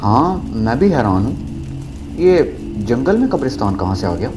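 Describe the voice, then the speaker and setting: A man's voice: mid-range voice, big pitch swings, a little slow, music in the background. A man playing a bold, curious young university student in an audio drama. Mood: curious, puzzled.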